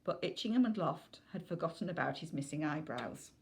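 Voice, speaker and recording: A woman's voice: sits low, animated, a little fast. A woman reading a children's novel aloud as scripted narration.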